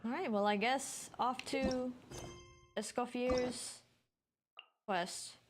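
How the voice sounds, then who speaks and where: A woman's voice: a little high, fairly even intonation, very slow, faint music behind. A woman game streamer giving casual, chatty commentary and thinking aloud as she plays.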